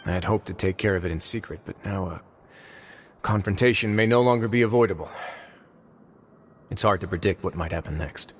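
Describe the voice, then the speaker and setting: A calm, shrewd warden, lowish. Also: male voice. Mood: scheming. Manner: measured, composed, dryly amused. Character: calm, shrewd male prison warden nobleman. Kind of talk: video game voice acting.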